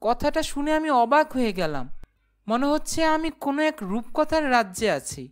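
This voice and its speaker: A woman's voice: high-pitched, very animated, normal speed. A woman voicing an honest, plucky yet nervous young human who narrates a cartoon ghost story expressively. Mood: amazed. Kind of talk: cartoon first-person narration and dialogue.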